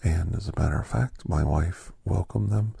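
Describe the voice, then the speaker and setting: A man voicing a kindly, reflective older doctor in soft, half-whispered ASMR storytelling, with breathy, halting short phrases. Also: low voice, no echo, studio quality, exaggerated voice. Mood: hushed, reflective. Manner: unhurried, pausing between short phrases. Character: older doctor recounting his gentle alien encounters, reflective, kindly.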